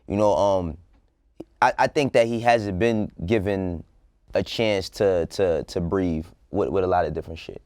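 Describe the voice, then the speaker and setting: A man's voice: low voice, fairly even intonation, measured pace, a little mellow, dry recording, studio quality. A man with an American accent chatting casually and emphatically as a talk show co-host, full of slang and swearing. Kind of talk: casual opinionated panel chat.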